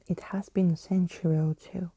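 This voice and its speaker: A woman's voice: on the low side, level tone, moderate pace, mellow, slightly rough, no room sound. A woman voicing a jaunty, wordy male skeleton adventurer in a whispered storybook reading. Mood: confident, dramatic. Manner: theatrical, wordy, whimsical phrasing.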